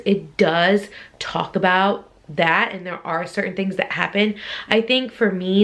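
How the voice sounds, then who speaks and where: A woman talking casually to viewers as a book vlogger, in an informal, conversational way with frequent hedging asides. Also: medium pitch. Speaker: book reviewer video host. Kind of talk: casual chatty vlog talk to viewers.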